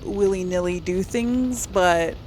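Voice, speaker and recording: A woman's voice: mid-range voice, takes it slowly, a little mellow, slight background noise. A woman hosting a casual let's play, chatting to viewers and thinking aloud in a tentative way. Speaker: gaming video host and player.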